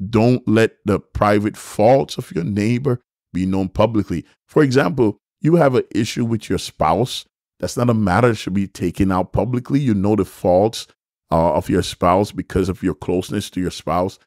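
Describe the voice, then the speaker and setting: Low-voiced man teaching scripture. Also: darkish tone, no echo, clean studio sound. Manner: deliberate, repeats and rephrases points for emphasis. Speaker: bishop and host of a church teaching show. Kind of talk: sermon-like Bible teaching to camera. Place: small furnished room, indoors. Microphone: microphone on a stand, close in front.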